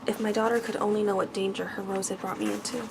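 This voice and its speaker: A woman's voice: mid-range voice, little pitch movement, fast, creaky, noisy background, natural voice. A woman voicing a frightened, humble merchant father in a bedtime storybook, pleading in formal, deferential speech. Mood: despairing.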